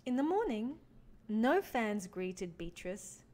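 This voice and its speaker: A woman reading a children's picture book aloud as a teaching artist, with expressive emphasis for young listeners. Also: fairly high pitch, slow pace. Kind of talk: animated children's storybook read-along.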